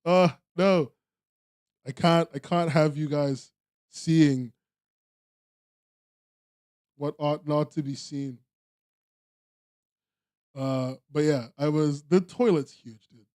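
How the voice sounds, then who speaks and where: A man's voice: fairly high pitch, takes it slowly, pauses often, a little mellow, no room sound, clean studio sound. A British man hosting a podcast, chatting casually in a rambling, laughing, self-deprecating way. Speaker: podcast host. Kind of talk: casual chat.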